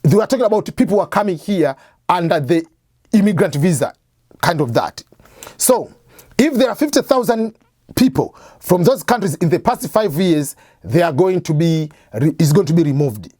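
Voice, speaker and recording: A man's voice: fairly high pitch, animated, moderate pace, darkish tone, no room sound, studio quality. A man hosting an advice show, explaining step by step in a conversational way, asking and answering his own questions. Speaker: host of an immigration advice channel. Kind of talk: explanatory talk to camera.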